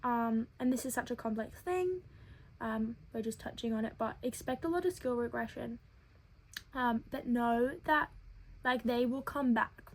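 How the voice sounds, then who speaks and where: A young woman with a childlike head voice, telling her own story in an earnest, thoughtful vlog with frequent filler pauses. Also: fairly high pitch, slight background noise, low-quality recording. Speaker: web series creator.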